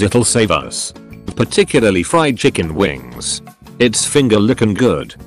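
A man narrating, reading out online forum comments from a script. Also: fairly low pitch.

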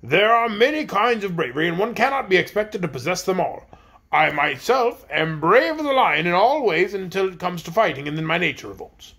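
A pompous, cowardly colonel from a storybook declares himself eagerly in a raised voice, voiced by a man. The tone is husky and gravelly. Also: high voice, expressive, a little fast, bright, forceful tone, full character voice.

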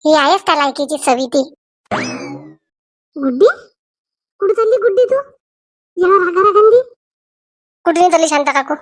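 Woman acting high-pitched scolding cartoon village woman. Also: expressive, normal speed, long pauses, dry recording. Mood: nosy, surprised. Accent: rural Vidarbha Marathi. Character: scolding, nosy village women of Marathi comedy cartoon.

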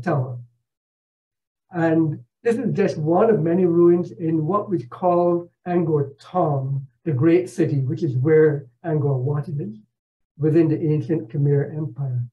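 An older man from Barbados giving a narrated travel slideshow over a video call, speaking in a measured, halting, explanatory way. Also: on the high side. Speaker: presenter, retired professor. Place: indoor room at home, on a video call. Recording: dull video call audio.

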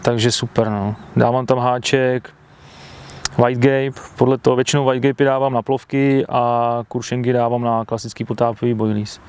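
A man in his thirties answers questions outdoors by a lake, speaking through a clip-on mic. His delivery is plain and matter-of-fact, with little rise and fall in pitch. A faint outdoor hiss sits beneath his voice. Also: flat delivery, darkish tone. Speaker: carp angler being interviewed. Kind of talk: relaxed outdoor interview. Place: outdoors by a lake, seated at a fishing bivvy.

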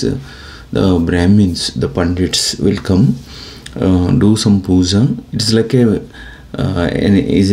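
A man's voice: low-pitched, creaky, mellow. A middle-aged man from India with an Indian accent, talking to camera in a small room in an earnest, rambling way. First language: Telugu. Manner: rambling, earnest, gestures with hands. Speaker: YouTuber. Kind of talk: casual talk to camera. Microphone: device camera close up at low angle.

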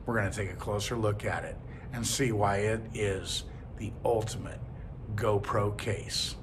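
A man's voice: fairly low pitch, steady tone, a little slow, a little hiss or hum. A man in his fifties giving a casual, step-by-step product demo in a classroom, talking through each move and repeating himself as he works. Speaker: product reviewer. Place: classroom at a table.

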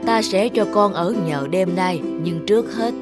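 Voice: medium pitch, a little slow, close to an everyday voice. An actor's commanding old hermit man over music. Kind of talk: animated fairy tale acting. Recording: music behind the voice.

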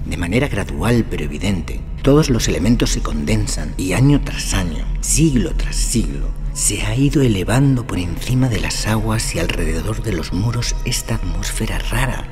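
An actor playing a doomed, obsessive aristocrat with an intense, solemn delivery in a gothic audio drama. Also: male voice, fairly low pitch, expressive, moderate pace, few pauses, over music, natural voice. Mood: obsessive, fatalistic. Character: doomed, obsessive aristocratic man brooding on his ancestral house.

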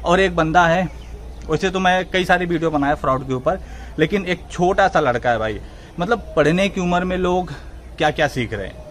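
A man's voice: fairly high pitch, normal speed, fairly bright tone. A man, talking casually and emphatically to camera as a gaming vlogger outdoors. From India. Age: 20s. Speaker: gaming channel host. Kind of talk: casual vlog talk to camera. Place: outdoor open yard with trees. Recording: faint outdoor background noise.

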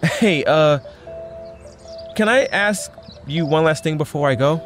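A man's voice: fairly high pitch, unhurried, natural voice. Man acting an earnest, troubled young superhero over music. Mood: puzzled. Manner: hesitant, pleading. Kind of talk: audio drama acting. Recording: music behind the voice.

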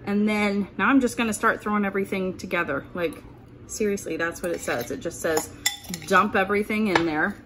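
A woman's voice: mid-range voice, background noise. A woman talking informally and thinking aloud as she hosts a casual meal-prep demonstration at home. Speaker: host of a keto cooking channel. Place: indoors at home, kitchen work surface.

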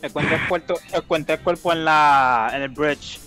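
A man in a casual online game, excitable and defensive, switching between Spanish and English as he pleads his case. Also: fairly high pitch, a little fast. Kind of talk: casual gaming chat. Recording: game music behind.

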